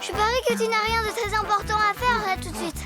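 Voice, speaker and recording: Very high-pitched, bossy little cartoon girl. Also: steady tone, fast talker, loud music behind the voice. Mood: bossy, persuasive. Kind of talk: animated children's cartoon dubbing.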